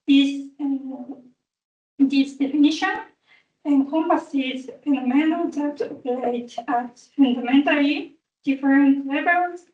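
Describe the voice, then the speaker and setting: A woman presents at an academic conference in a high voice, taking her time and pausing on fillers as she works through each point. She sounds far from the mic, with the echo of a conference room around her and a dull, muffled tone. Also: a little mellow. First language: Japanese. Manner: careful, halting, with frequent fillers. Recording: roomy, distant, dull recording.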